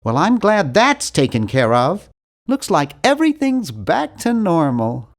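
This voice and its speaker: A man's voice: fairly high pitch, wildly swooping pitch, a little slow, exaggerated voice. A man voicing an earnest, timid young male nerd in an adventure video game, with a precise, fussy delivery. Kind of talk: animated adventure game voice acting.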